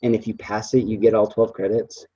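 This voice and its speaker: A young man in his twenties, a student chatting easily with classmates on a video call, speaking haltingly with many uhs. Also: fairly low pitch, little pitch movement, mellow, slightly rough, dull audio. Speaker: student in a group project. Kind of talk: casual chat on a video call.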